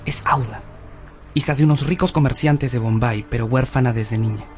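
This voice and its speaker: An actor playing a wry, knowing guide in a radio drama, deferential and given to storytelling. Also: male voice, medium pitch, moderate pace, close to an everyday voice. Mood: wry, explanatory. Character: knowing, wry local male guide, deferential to his master. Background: music.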